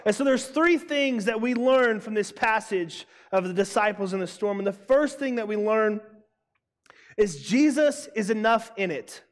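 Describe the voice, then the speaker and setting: A young man preaching a sermon to students, emphatic and loud, repeating his key lines. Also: sits high, animated, normal speed. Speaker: youth pastor. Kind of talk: youth sermon. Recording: long hall reverb.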